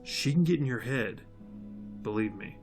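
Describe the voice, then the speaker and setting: A man's voice: medium pitch, animated, normal speed, a little mellow, lightly acted. A man playing a wary, wry colleague in an audio drama, in an everyday voice. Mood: cautious. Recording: faint music behind.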